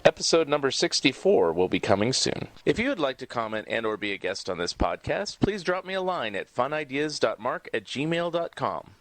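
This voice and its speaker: A man's voice: medium pitch, very animated, moderate pace, few pauses, fairly bright tone, dry recording. A man in his fifties hosting a podcast in a casual, conversational style. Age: 50s. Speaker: podcast host.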